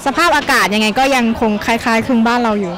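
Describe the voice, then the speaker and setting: Rapid high-voiced young woman. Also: fairly even intonation. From Thailand. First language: Thai. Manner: polite, hesitant, with fillers. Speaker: exchange student. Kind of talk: street interview. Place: outdoor temple event. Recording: noisy crowd outdoors.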